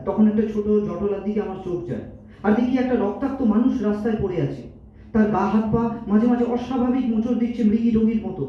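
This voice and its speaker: A man's voice: sits high, natural voice. A man playing an earnest, principled young accountant in an amateur stage audio drama, deferential yet impassioned as he makes his case. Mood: indignant, impassioned. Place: multipurpose hall stage. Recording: distant echoey hall, dull, faint noise.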